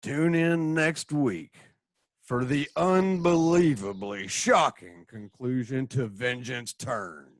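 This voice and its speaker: A man's voice: mid-range voice, slow pace, dry recording, clean studio sound. Guy, radio play announcer and director, takes his time, mid voice, no room sound. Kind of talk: radio serial narration and direction.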